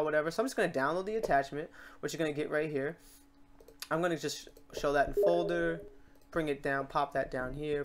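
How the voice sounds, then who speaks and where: Screencast tutorial host: medium pitch, casual and chatty, informal step-by-step instruction.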